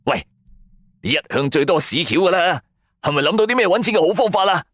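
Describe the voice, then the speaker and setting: Man acting Tofu, an excitable broke Cantonese sidekick. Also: high voice, a little fast, no room sound, voice pushed up. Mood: eager. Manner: excitable, wheedling, slangy. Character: Tofu, broke, timid but money-hungry young male sidekick. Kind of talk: Cantonese radio drama dialogue.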